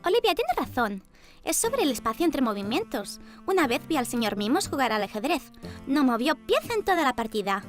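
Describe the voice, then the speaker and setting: A woman voicing a timid, earnest young princess in an animated children's cartoon. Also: high voice, brisk. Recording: music behind the voice.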